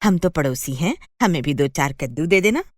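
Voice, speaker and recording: A woman playing a chatty, flattering, inquisitive neighbour in a children's cartoon story. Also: sits low, moderate pace, no echo, clean studio sound. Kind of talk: animated children's moral story dialogue.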